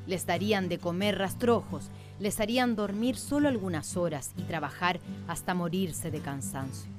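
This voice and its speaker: A woman with a rather low voice narrates a radio drama. Her delivery is scripted, literary and solemn, like a storyteller's, and music plays clearly behind her. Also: moderate pace.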